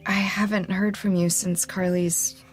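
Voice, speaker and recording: An actress playing a guilt-ridden, quietly wry teenage girl with a soft, halting delivery in an audio drama. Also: mid-range voice, flat delivery, soft background music, natural voice. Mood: guarded, subdued. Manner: halting, subdued. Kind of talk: naturalistic audio drama acting.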